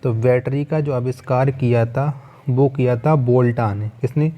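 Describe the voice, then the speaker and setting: Male exam-prep teacher, relaxed dark tone, mid pitch, repeats each question and answer for learners. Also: normal speed. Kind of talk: quiz lesson, reading questions and answers.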